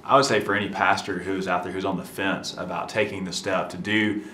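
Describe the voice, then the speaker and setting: Earnest low-voiced pastor. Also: male voice, flat delivery, a little fast, sharp tone, echoey room, slight background noise. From Alabama. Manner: earnest, emphatic, persuasive. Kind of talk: earnest testimonial. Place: church atrium, standing in group.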